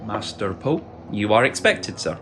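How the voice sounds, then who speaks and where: A man's voice: fairly low pitch, expressive, unhurried, heavy background noise. An actor playing a reserved, deferential manservant in a radio drama, formal and courteous in his speech. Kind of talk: period radio drama acting.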